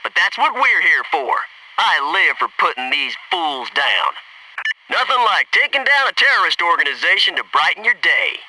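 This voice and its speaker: Bright, high-voiced cocky American commando. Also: male voice, very animated, normal speed, distant mic, a little hiss or hum, full character voice, rough recording. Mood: cocky, eager. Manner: punchy, wry, eager banter and callouts. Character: cocky, wisecracking young Navy SEAL soldier. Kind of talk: video game military voice acting.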